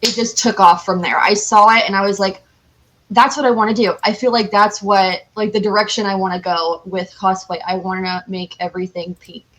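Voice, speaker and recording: Female podcast guest, mid-pitched voice, chatty and candid, informal, unscripted delivery. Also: steady tone, fairly quick, a bit bright, poor audio. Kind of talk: casual interview chat.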